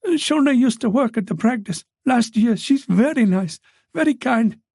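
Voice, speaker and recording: A timid, frightened young woman, as a male narrator puts her on, pleading and apologizing in a high, lifted voice. A gravelly texture stays underneath, recorded close and dry. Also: animated, moderate pace, a little mellow, dry recording, heavily acted.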